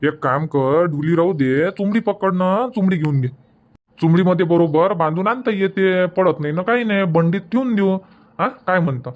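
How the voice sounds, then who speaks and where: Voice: mid-range voice, normal speed, lightly acted. An actor voicing a grumbling, dismissive village farmer husband in a comedy cartoon, with a rural Vidarbha Marathi accent. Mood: insistent.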